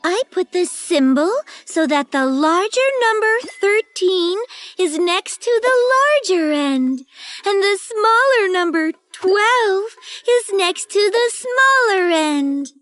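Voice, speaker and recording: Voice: extremely high pitch, expressive, slow, a bit bright, no echo, exaggerated voice. A performer playing a curious, eager young girl in an educational storybook cartoon, speaking in an earnest, inquisitive way. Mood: careful, pleased. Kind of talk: children's educational cartoon acting.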